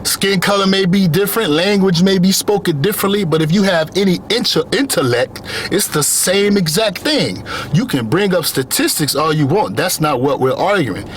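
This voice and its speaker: A man's voice: sits high, lively intonation, normal speed, few pauses, a little hiss or hum. A young man giving an earnest motivational monologue, driving his points home with repeated phrases. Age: young adult. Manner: earnest, repetitive emphatic phrasing. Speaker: vlogger.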